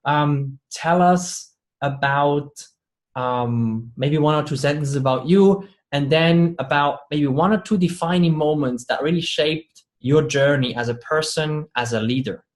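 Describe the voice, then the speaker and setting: A man's voice: fairly high pitch, unhurried. A man hosting a leadership podcast, asking rambling, enthusiastic questions full of fillers. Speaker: podcast host. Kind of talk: interview hosting.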